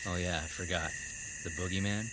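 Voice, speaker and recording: An actor playing a mocking, laid-back soldier in a radio drama, with a teasing way of talking. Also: male voice, deep voice, steady tone, fairly quick, natural voice. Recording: noisy outdoor night scene effects.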